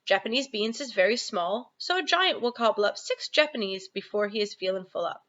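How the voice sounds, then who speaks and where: A woman's voice: medium pitch, lively intonation, a little fast, a bit bright, dull audio, natural voice. A woman voicing a friendly, boastful storybook giant who talks in mangled grammar and invented comic words. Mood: knowing, gleeful.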